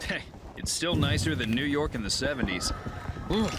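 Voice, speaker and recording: Man as a lively, wisecracking game hero. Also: a little high, moderate pace, natural voice. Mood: breezy, casual. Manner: casual, quippy. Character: wisecracking, easygoing male hero and city guide. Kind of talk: video game cutscene acting. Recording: loud city noise behind.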